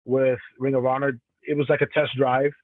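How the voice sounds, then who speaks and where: A man's voice: medium pitch, little pitch movement, normal speed, no room sound. Cameroonian man on a tinny phone line. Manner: rambling, many restarts and self-corrections. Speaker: professional wrestler, guest. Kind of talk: casual interview answers.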